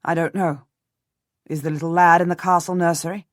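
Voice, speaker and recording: A woman voicing a steady, cautious nobleman husband who speaks in a calm, measured, commanding way. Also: lowish voice, no room sound, studio quality, lightly acted. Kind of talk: audiobook character voice.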